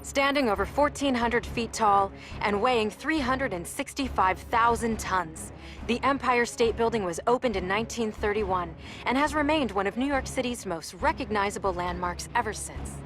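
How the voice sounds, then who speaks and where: A woman's voice: on the high side, moderate pace, a bit bright, soft background music, close to an everyday voice. A woman voicing a drily witty official agency briefer, narrating in a scripted video game style. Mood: informative.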